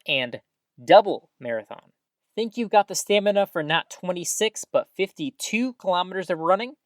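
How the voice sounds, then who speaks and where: An actress plays an upbeat woman hosting small-town radio in an audio drama. Her voice sits low but swings with lively intonation. She announces in an earnest, folksy way, recorded close and dry. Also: no echo, natural voice. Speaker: radio host. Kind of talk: scripted community radio announcing with banter.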